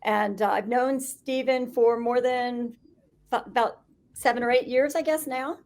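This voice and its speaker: A woman's voice: on the high side, steady tone, measured pace, a little mellow. A woman hosting a live business interview, introducing her guest in a friendly, slightly hesitant way. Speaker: interview host. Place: video call.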